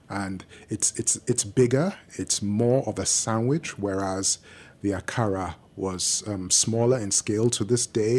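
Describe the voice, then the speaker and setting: A man from Nigeria, a native Yoruba speaker, talking slowly on a podcast and pausing often with ums. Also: on the low side, darkish tone, husky. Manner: slow and measured, many ums and pauses. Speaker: guest, architectural historian. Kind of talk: podcast conversation.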